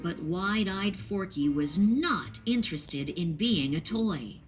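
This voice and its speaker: A woman narrating a children's read-along storybook in a clear, expressive storytelling manner. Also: on the low side, takes it slowly, a little hiss or hum.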